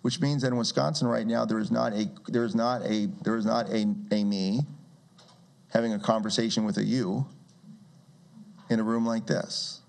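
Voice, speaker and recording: A man in his forties with a rough, gravelly voice, sitting low in pitch. He answers audience questions at a community meeting, speaking into a handheld mic. He talks in a loose, conversational way, restarting words and dropping wry asides. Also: fairly even intonation, normal speed, a little mellow. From Brazil. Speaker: guest speaker. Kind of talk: public talk with audience questions.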